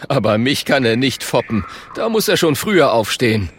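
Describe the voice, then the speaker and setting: A man's voice: mid-range voice, very animated, moderate pace, slight background noise, close to an everyday voice. A man voicing a boastful but cowardly, easily flustered Stone Age caveman in a children's story, in a blustering manner. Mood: cocky. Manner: blustering, stammering when scared. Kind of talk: children's audiobook character voice.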